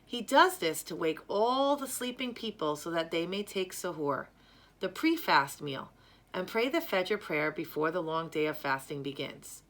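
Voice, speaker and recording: A woman reading a children's story aloud in a measured, careful, expressive way. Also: lowish voice. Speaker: storyteller reading a picture book.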